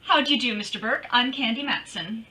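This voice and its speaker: Woman playing a bright, high-voiced, wisecracking lady detective. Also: brisk, poor audio. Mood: breezy, wisecracking. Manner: wry, flippant, breezy. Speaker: actor in an old-time radio detective play. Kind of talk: 1940s radio acting, narration and dialogue.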